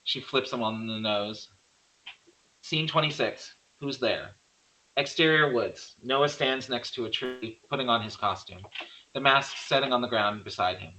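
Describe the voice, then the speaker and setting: A man's voice: mid-range voice, unhurried, pushed and bright, rough recording. A man reading out screenplay stage directions as a narrator.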